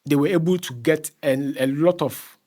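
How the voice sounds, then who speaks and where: A man's voice: a little high, normal speed, dark tone. A man from Ghana with a Ghanaian accent speaks as an interview guest, explaining conversationally and often repeating phrases as he searches for words.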